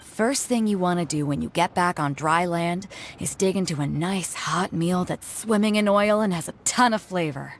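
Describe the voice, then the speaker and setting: A woman's voice: fairly low pitch, moderate pace, a little hiss or hum, lightly acted. A woman voicing a bold, hearty sea captain in a video game, boisterous and blunt. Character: bold, hearty adult female sea captain who likes drink. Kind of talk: animated video game character acting. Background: music.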